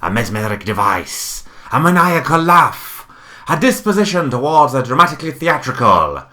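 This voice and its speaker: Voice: mid-range voice, big pitch swings, moderate pace, bright, forceful tone. A performer voicing a blustering, clueless weasel time traveller in a comic sci-fi audio drama, chatty and pompous. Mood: triumphant. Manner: pompous, chatty, self-assured.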